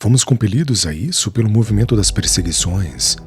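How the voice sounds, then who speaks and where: Man doing an earnest weaver in an audiobook, solemn and measured, low and lively in pitch, soft music underneath. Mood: grave. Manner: solemn, measured storytelling of past suffering. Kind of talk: audiobook character dialogue. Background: faint background music.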